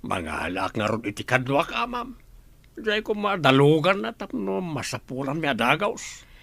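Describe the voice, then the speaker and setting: A man's voice: on the high side, big pitch swings, normal speed, creaky, a bit bright, no echo, exaggerated voice. A man with a Filipino Ilocano accent playing a deferential but questioning hired helper in an audio drama. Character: plain-spoken hired male underling and helper.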